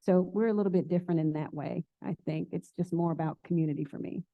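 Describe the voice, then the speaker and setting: A woman's voice: on the low side, fairly even intonation, fast talker, no room sound. Plant shop owner, woman, tinny sound. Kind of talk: casual talk with audience questions.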